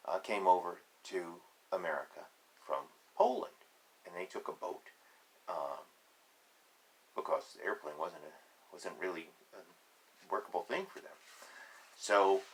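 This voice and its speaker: A man reading a picture book aloud to children, deliberate and emphatic in short phrases, with chatty, playful asides. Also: lowish voice, pushed and bright.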